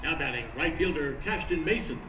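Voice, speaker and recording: High-voiced male stadium announcer, muffled and distant. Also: lively intonation, a little fast, some chatter behind. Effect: stadium PA loudspeaker. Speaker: stadium public address announcer. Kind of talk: ballpark batter introductions. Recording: distant, dull PA with background noise.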